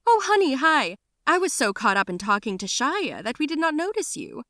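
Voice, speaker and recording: A woman's voice: high voice, big pitch swings, moderate pace, sharp tone, dry recording, studio quality, pitched above the performer's own voice, exaggerated voice. A woman voicing a fussy, doting middle-aged mother who gushes and scolds. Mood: fussing.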